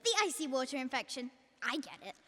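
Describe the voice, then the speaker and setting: Young woman playing a very high, eager angel. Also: lively intonation, quick pace, sharp tone, exaggerated voice. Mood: chirpy, confident. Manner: chirpy, earnest. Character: eager, naive second-class female apprentice angel. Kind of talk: stage-like radio drama acting. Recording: long hall-like reverb effect.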